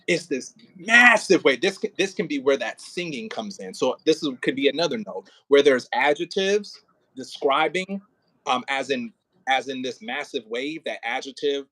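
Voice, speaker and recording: Bright-voiced man coaching with expressive flair. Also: medium pitch. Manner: expressive, demonstrates lines dramatically. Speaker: director of an audio play. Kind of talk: coaching an actor in rehearsal, casual. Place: indoors near a window, on a remote call.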